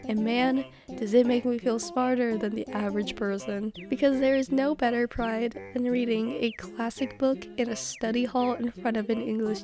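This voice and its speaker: A teenage girl with a stuffy nose, giving scripted commentary in a chatty, self-mocking, emphatic way. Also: on the high side. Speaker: youtuber. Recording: music playing behind.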